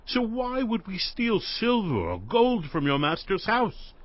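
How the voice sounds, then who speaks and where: A man's voice: high-pitched, very animated, measured pace. An actor playing an earnest ancient servant indignantly protesting his innocence in a dramatized scripture recording. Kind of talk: dramatized scripture audio acting. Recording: dry, slightly dull studio recording.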